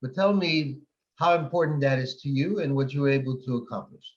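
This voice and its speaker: A man from Morocco hosting a casual interview, talking quickly and repeating words as he searches for them. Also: medium pitch. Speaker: host. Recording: dull lo-fi sound.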